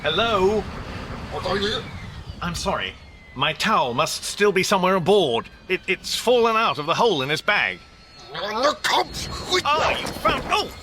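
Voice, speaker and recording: Put-upon English everyman in radio comedy: high, slow, flustered, with rain noise around him. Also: very animated. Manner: flustered, muttering to himself, sarcastic. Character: exasperated, apologetic Englishman stranded in the rain. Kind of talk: radio comedy drama acting. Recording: noisy radio play with rain effects.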